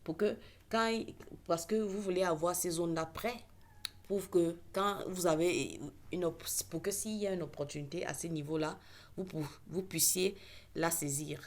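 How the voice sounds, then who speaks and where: A woman's voice: fairly low pitch, moderate pace. A woman who speaks English natively, teaching trading in a casual online lesson with many fillers and self-corrections.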